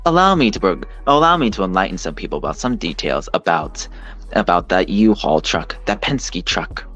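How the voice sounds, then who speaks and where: A man's voice: low voice, sing-song, moderate pace, a bit bright, dull audio, faint music behind. A man delivering a monologue to an audience in wordy, formal, pointed phrasing. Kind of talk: monologue addressed to camera and audience.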